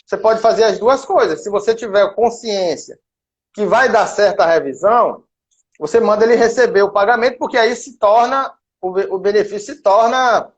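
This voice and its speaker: A man's voice: high voice, normal speed. Man, Bahian accent, middle-aged, explanatory, walks through examples, muffled stream audio. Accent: Bahian Brazilian Portuguese, softened. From Salvador, Bahia, Brazil. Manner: explains through worked examples, addresses listener directly. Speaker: guest lawyer and professor. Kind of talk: explanatory live-stream talk.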